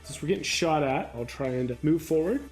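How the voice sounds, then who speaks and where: Male tabletop player over soft music. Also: fairly high pitch, fairly quick. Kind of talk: casual game-table chat. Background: background music.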